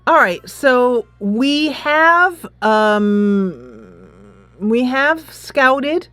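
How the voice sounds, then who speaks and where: A woman hosting a casual let's play, chatting in an animated, giggly manner into a close mic. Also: high-pitched, drawn-out words, faint music behind. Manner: animated, giggly, reads dialogue aloud. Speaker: gaming channel host.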